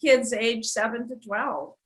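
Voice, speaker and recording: A woman's voice: a little high, steady tone, a little slow. A woman hosting a children's storytime, enthusiastic and upbeat as she chats with a guest author and speaks to the kids. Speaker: host of a children's storytime channel. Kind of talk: casual chat with a guest author.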